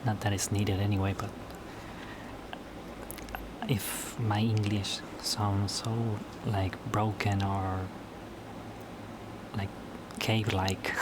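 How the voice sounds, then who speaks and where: A slow, low-voiced man whose native tongue is Spanish, chatting alone to his camera at home late at night. He talks haltingly, restarting and repeating words as he goes. A clear hiss of background noise sits under the recording. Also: level tone. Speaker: YouTuber. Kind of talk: casual solo vlog talk.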